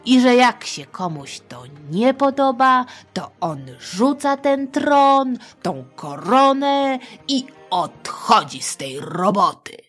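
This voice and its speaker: A middle-aged woman hosting a children's history podcast, telling a story in a playful, colloquial, expressive way. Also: a little high, slow pace, hardly any pauses, faint music behind. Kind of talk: informal scripted storytelling for children.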